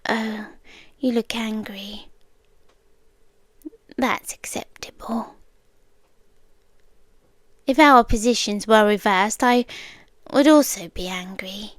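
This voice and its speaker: A British woman playing an obsessive, eerily calm stalker girlfriend in an intimate ASMR roleplay, speaking in measured, deliberate phrases that often slip into whispers, close to the mic. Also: mid-range voice, dry recording. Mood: soft, coaxing. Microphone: close dry microphone.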